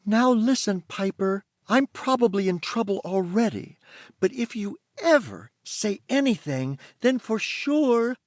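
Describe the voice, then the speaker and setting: Brief: fretful young tooth fairy, voiced by a male reader, very high, lively, dry close sound. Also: measured pace, no echo. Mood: pleading.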